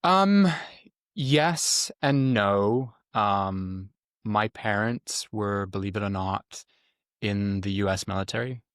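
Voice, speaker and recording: A middle-aged man from the UK answering interview questions conversationally, explaining things with frequent pauses. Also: lowish voice, a bit bright, dry recording, studio quality. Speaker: guest, former Army Ranger medic.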